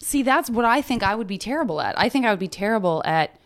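A woman's voice: medium pitch, animated. An American woman hosting a podcast, chatting playfully and joking with her guest. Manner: playful, joking, quick to laugh. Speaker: podcast host. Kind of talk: casual chat.

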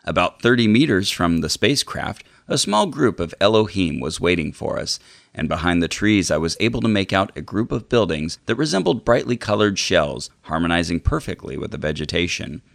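A man's voice: low-pitched, sing-song, fairly quick, creaky, dry recording, studio quality. A man co-hosting a podcast, chatting and reading book passages aloud. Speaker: podcast co-host.

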